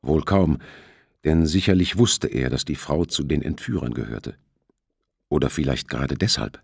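A man's voice: extremely low pitch, normal speed, creaky, husky, no room sound, studio quality. A man narrating a thriller audiobook in a scripted, storytelling style.

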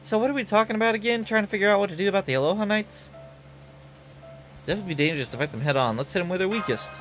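A man's voice: high voice, animated, very fast, fairly bright tone, soft background music, pitched above the performer's own voice, rough recording. A man voicing a breezy, folksy young woman painter in a game, drawling with clipped words.

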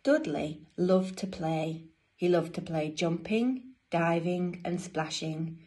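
A middle-aged woman, reading a picture book to young children as a teacher, pausing to ask them questions. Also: fairly low pitch. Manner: slow, expressive delivery for young children. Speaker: infant school teacher reading a bedtime story. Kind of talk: children's storybook read-aloud with questions to listeners. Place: small domestic room indoors. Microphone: phone or tablet an arm's length or more away.

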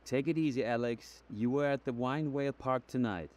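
Actor as calm, mid-pitched male police interrogator. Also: brisk, close to an everyday voice. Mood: calm, probing. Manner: calm, matter-of-fact, probing. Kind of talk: video game voice acting.

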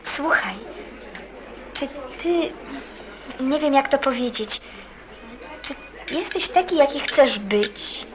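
A woman playing an earnest, caring teenage girl in a radio play, asking gentle, thoughtful questions. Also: sits high, animated, takes it slowly, long pauses, over music, low-quality recording. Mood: concerned, probing. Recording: busy chatter of people behind. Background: crowd chatter, as in a café.

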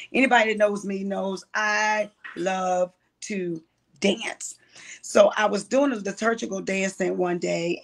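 A woman in her late fifties tells her life story as an interview guest, candid and steeped in faith. Her voice has a gravelly, fry-laced edge. Her pitch sits mid-range for a woman. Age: 50s. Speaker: guest, writer and producer. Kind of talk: casual interview, testimony.

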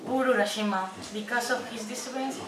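A woman's voice: on the high side, steady tone, quick pace. A young woman giving a scripted storytelling narration for a puppet show. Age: young adult. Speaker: student narrator. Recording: distant echoey room, background crowd.

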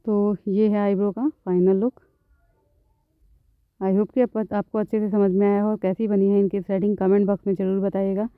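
Calm woman beautician explaining threading. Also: mid-range voice, moderate pace, no room sound, phone-quality sound. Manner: calm, instructive, repeats phrases. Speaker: beautician, channel host. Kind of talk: step-by-step tutorial narration.

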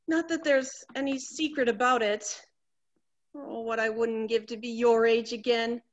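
A woman's voice: fairly high pitch, fairly even intonation, measured pace, highs cut off, close to an everyday voice. A woman playing a vain, anxious middle-aged wife in a stage comedy, melodramatic and fluttery. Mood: fussy, self-conscious. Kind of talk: theatrical stage comedy acting.

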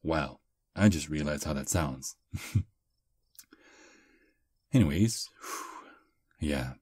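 A man playing a charming male vampire on a first date, soft, relaxed and self-deprecating, close to the mic in ASMR roleplay. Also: extremely low pitch, extremely slow, slightly rough, no room sound, heavily acted. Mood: calm.

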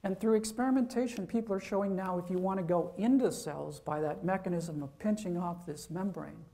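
A man's voice: high voice, a little fast, dark tone. A man, a professor, giving an informal university lecture, talking conversationally and checking in with "okay?".

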